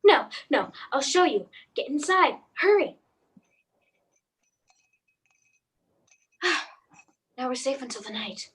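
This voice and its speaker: A woman's voice: high-pitched, expressive, a little slow, fairly bright tone. A woman playing a terrified mother in a radio play, pleading in a faltering, repetitive way. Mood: frantic evasion.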